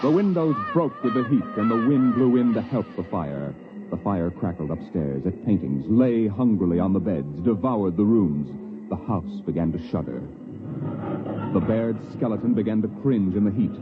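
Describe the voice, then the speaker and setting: A man narrating a 1950s radio drama in a grave, theatrical way with deliberate phrasing. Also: lowish voice, lively intonation, noisy background. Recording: muffled vintage radio, music behind.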